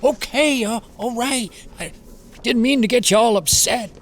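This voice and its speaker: A man playing a gruff, kindly older uncle in an audio drama, folksy and plain-spoken. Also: extremely high pitch, moderate pace, a bit bright. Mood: concerned, gentle.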